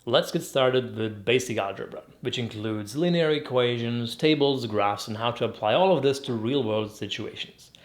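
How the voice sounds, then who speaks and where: Young man, from Croatia, educational host, methodical explainer, clear delivery, light humor. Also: mid-range voice, moderate pace, hardly any pauses. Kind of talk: scripted explainer narration.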